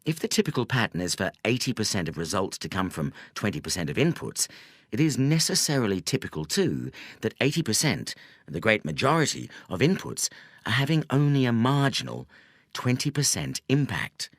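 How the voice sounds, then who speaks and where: A man narrating a nonfiction audiobook in a measured, deliberate, scripted reading style. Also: lowish voice, very animated, dry recording, studio quality. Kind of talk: scripted audiobook narration of a self-help business book.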